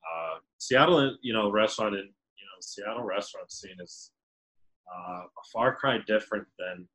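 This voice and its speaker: Deep-voiced man telling a story. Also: normal speed, a bit bright, rough recording. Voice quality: deep booming voice. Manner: rambling with many fillers. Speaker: podcast guest, restaurant server. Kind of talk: casual storytelling.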